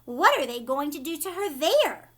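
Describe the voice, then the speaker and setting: A distraught storybook mother, acted out by a woman reading aloud. She is demanding and loud, exclaiming in alarm in a high voice that leaps around in pitch. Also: sing-song, normal speed.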